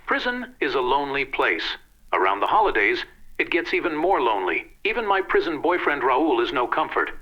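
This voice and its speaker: Man acting a forceful, brash radio jailbird. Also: mid-range voice, big pitch swings, a little fast. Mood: sentimental. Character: brash, sentimental jailbird, a former police detective. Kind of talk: old-time radio drama acting. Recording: echoey, dull recording, faint noise.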